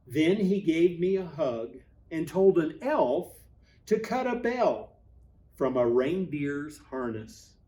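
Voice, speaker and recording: Male storybook reader, pastor type, slightly high-pitched, slow and expressive, pausing for drama, reading to children. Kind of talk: storybook read-aloud for children.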